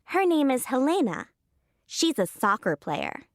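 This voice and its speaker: Brief: polite young teen girl, slow careful delivery, bouncy intonation. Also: high-pitched, big pitch swings, dry recording, studio quality, exaggerated voice. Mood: plain. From Canada. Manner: short, slow, clearly spaced answers. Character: 13-year-old girl tennis player, polite and brief. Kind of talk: scripted textbook dialogue.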